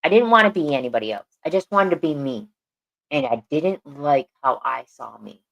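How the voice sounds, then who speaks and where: A woman's voice: low voice, animated, moderate pace, creaky, fairly bright tone, dull audio. A woman co-hosting a podcast, talking earnestly and repeating phrases for emphasis. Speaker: podcast co-host. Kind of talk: casual podcast chat.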